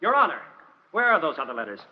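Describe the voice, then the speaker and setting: A man's voice: on the high side, big pitch swings, fast. A man playing an earnest, insistent defense lawyer in the manner of 1940s radio drama. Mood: urgent, triumphant. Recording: muffled, noisy 1940s radio broadcast.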